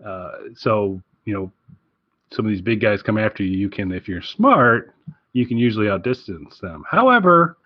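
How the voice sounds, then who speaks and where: A man with a fairly low voice hosts a casual podcast. He talks in an enthusiastic, rambling way. The sound is a little roomy and muffled. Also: lively intonation, moderate pace. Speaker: podcast host. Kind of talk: casual recommendation chat.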